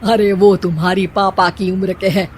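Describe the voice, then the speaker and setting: A woman's voice: medium pitch, little pitch movement, normal speed, a little mellow, slightly rough, lightly acted. A woman voicing an exasperated, chiding middle-aged mother-in-law in a cartoon story. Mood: scolding. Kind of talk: Hindi cartoon story dialogue.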